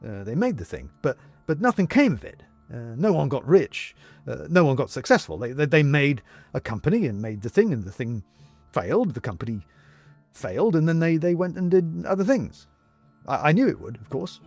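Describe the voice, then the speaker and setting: Flustered, defensive everyman, played by an audiobook reader, male, halting stammer, lively pitch, dry sound with music. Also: no echo. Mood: rambling, defensive. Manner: stammering, halting, repeats words. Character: flustered, defensive, self-justifying middle-class man.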